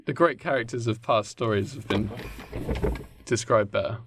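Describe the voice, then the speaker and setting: A man co-hosting a podcast, telling a story in a casual, jokey, halting way. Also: fairly low pitch, background noise. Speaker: podcast co-host. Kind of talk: casual comedic storytelling chat.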